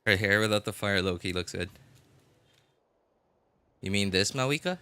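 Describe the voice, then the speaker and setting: A man's voice: fairly low pitch, moderate pace, sharp tone, clear, resonant tone, no room sound. A man live streaming a game, chatting casually with viewers and often hesitantly repeating himself.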